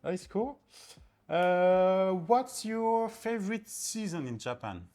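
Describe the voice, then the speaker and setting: A woman's voice: medium pitch, expressive, slow, mellow, soft background music. A woman interviewing a guest in a casual chat and keeping the talk going with a string of friendly questions.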